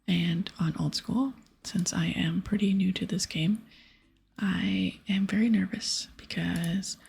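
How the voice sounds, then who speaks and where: A woman whispering in a breathy ASMR style as she casually plays through a game, chatting softly and reading dialogue aloud. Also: lowish voice. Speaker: host of an ASMR gaming series.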